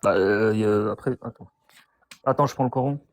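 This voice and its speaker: A man's voice: on the low side, brisk, no echo. A man taking part as a guest in a live online debate, answering defensively and repeating his points.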